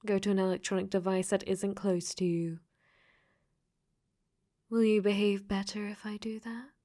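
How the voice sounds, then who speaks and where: A woman voicing a clingy, possessive AI dating-sim girl in a soft, coaxing, teasing ASMR roleplay that drops into whispers. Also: mid-range voice. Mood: sweet, coaxing.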